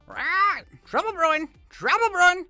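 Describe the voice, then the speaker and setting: Gravelly pirate and squawking parrot, wild pitch swings, sharp forceful tone. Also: male voice, very animated, normal speed, dry recording, soft background music, heavily acted. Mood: shrill alarm. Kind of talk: comic character voices in audio drama.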